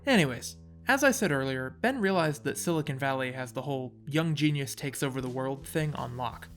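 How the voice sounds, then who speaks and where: A man narrating a casual scripted video essay with wry, sarcastic asides. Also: on the high side.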